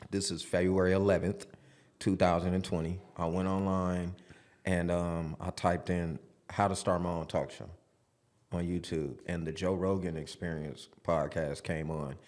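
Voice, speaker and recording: A man's voice: sits low, flat delivery, darkish tone. A man of forty, a podcast guest, telling his story openly in a studio with frequent restarts. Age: 40s. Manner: open, storytelling, halting restarts. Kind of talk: casual studio interview.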